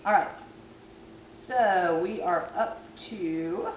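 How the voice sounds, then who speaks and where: A woman's voice: fairly low pitch, drawn-out words. A woman who is losing her voice gives a chatty, self-deprecating cooking tutorial at home. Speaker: vlogger. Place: home kitchen at night. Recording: echoey kitchen, far from camera, background noise.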